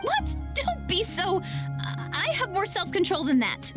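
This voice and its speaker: A woman's voice: very high voice, huge pitch swings, unhurried, over music, full character voice. An actress playing an earnest, polite young woman traveler in a video game. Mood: defensive. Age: young adult.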